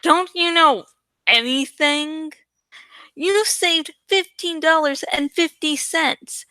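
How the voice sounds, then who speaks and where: A woman's voice: high-pitched, slow, sharp tone, no echo, voice pushed up, exaggerated voice. A woman voicing a brash, boastful teenage girl shopper in an emphatic, crowing manner for a storybook reading. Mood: triumphant.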